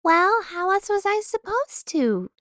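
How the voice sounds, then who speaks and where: Actress as prim, haughty fantasy lady, very high voice, swooping intonation, dry close sound. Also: big pitch swings, measured pace, fairly bright tone, no echo, full character voice. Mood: defensive, prim. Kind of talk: audio roleplay acting.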